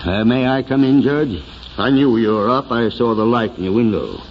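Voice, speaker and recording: An actor playing a kindly, thoughtful older small-town mayor in 1940s radio drama style. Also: male voice, medium pitch, lively intonation, moderate pace, a little hiss or hum, lightly acted. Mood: grave, subdued. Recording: muffled old radio recording with music.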